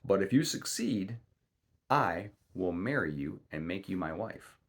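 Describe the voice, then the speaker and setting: Man playing greedy king, storybook, threatening, commanding, forceful, husky, animated pitch. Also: measured pace, full character voice.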